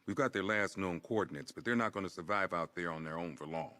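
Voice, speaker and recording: A man's voice: sits low, fast talker, bright, forceful tone. A man playing a plain-spoken police officer giving a briefing, informal and matter-of-fact, in an amateur video game fan dub.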